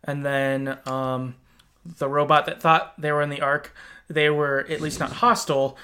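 A man hosting a podcast, casually talking through a video game in an informal, halting way, thinking aloud as he goes. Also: fairly high pitch, little pitch movement, bright, forceful tone. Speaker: podcast host. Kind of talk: casual conversational game discussion.

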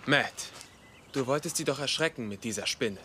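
A man playing a young, earnest investigator in a German TV drama dub, speaking standard German in a gentle, reassuring manner. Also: mid-range voice, lively intonation, normal speed, slight background noise. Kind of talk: dubbed TV drama dialogue.

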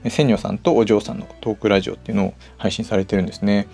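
A man with a fairly low voice talks quickly over background music. He hosts his own show in a casual, chatty way, speaking straight to listeners. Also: flat delivery, gravelly, a little mellow. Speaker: host of a personal audio show. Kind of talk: casual solo talk to listeners. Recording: music playing behind the voice.

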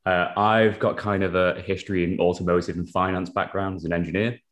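A man's voice: deep voice, flat delivery, fairly quick, a bit bright. A man speaking as an interview guest, explaining his engineering work in a casual, professional, conversational way.

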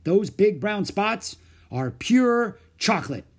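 Male reader doing a pompous old storybook doctor: raised pitch, loud, husky edge. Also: sing-song, moderate pace, exaggerated voice. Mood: excited. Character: excitable older male doctor, blustery, absent-minded.

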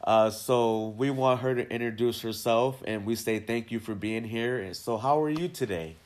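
A man hosting a podcast, introducing his guest and asking questions with frequent fillers and pauses. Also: mid-range voice, darkish tone. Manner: halting, with frequent uhs and ums. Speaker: podcast host.

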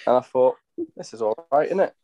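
A man's voice: medium pitch, lively intonation, brisk, warm tone, dry recording, dull audio. A Welsh man, a chef appearing as a podcast guest, talking bluntly and casually. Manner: blunt, laid-back, swearing casually.

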